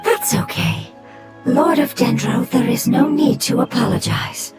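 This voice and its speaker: A woman voicing an ancient, sorrowful non-human being in a video game, calm, solemn and formal. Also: high-pitched, measured pace. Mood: wistful. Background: game music.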